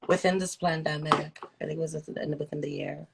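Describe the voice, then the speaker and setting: A woman's voice: low voice, fairly even intonation, fairly quick, vocal fry, background noise. A middle-aged woman, interviewing casually on a livestream and slipping into patois. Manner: chatty, slips into patois. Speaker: interviewer and live show host. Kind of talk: casual live interview chat. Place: livestream video call.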